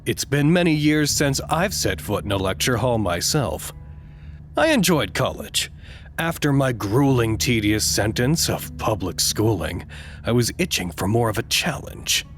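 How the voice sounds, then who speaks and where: An actor playing a wry, lonely radio operator in an audio drama, speaking in a measured, articulate, dryly sardonic way. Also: male voice, medium pitch, big pitch swings, soft background music. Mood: wistful, wry. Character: wry, lonely, reflective male radio operator reporting to command.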